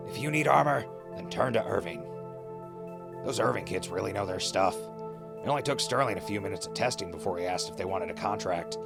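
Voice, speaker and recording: A man's voice: deep voice, gravelly. A man playing a chatty, wry wasteland radio host in an audio drama, casual and easygoing, prone to asides. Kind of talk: radio drama acting with mock sponsor reads.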